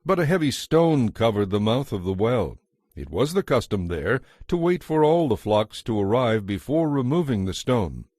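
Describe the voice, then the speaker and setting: A man narrating scripture as a dramatized story, in an expressive, measured way. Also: mid-range voice, dry recording, studio quality.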